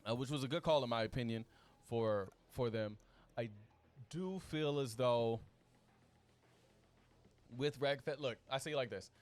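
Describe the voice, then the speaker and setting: A man's voice: medium pitch, measured pace, pauses often. A man chatting casually as an esports commentator, informal and easygoing, feeling his way through his phrases. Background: background music.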